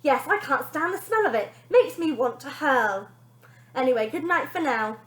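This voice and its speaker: A smug, spiteful TV nanny from a children's storybook, played by a woman reading aloud. Her high voice swoops with gushing, false sweetness and pleasure in herself. The room rings around her. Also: expressive, normal speed, fairly bright tone, reverberant. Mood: confiding, self-satisfied.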